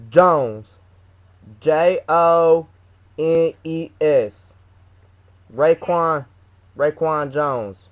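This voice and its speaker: A young man putting on a slangy, stammering, aggrieved customer for a prank phone call. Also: fairly high pitch. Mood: matter-of-fact. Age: young adult. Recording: dull lo-fi webcam audio.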